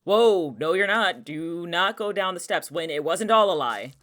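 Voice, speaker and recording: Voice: medium pitch, lively intonation, measured pace, a bit bright. An actor in a naturalistic audio drama playing an exasperated, protective young person with a casual, sardonic, blunt way of talking. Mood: alarmed, bossy. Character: exasperated, protective young person who knows the town's dangers.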